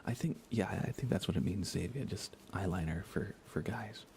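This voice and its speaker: A man speaking softly as an ASMR game streamer, hushed and often near a whisper, rambling casually as he chats with viewers. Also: sits low, normal speed, gravelly, slight background noise. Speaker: live streamer. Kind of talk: soft-spoken ASMR gaming livestream chat.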